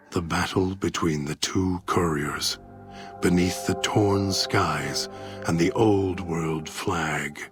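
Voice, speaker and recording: An actor narrating a game epilogue as a brooding, solemn storyteller with a weighty, deliberate delivery. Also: male voice, deep voice. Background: music.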